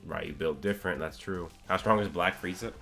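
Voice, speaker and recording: A man's voice: low voice, fairly quick, vocal fry, pushed and bright, faint music behind. A man live-streaming, chatting casually and answering viewers' questions, his voice getting scratchy from a long day of talking. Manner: answers chat questions, rambling with restarts. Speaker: streamer discussing anime with chat.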